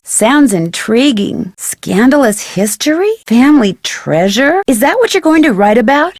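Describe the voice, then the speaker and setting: Young american girl detective in a game, high pitched, curious n polite, dry studio sound. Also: darkish tone, dry recording. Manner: inquisitive, clearly enunciated. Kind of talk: scripted video game dialogue.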